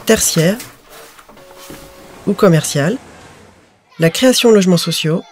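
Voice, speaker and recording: A woman giving scripted narration for an explainer video, in a clear, measured, informative manner. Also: on the low side, expressive, slight background noise.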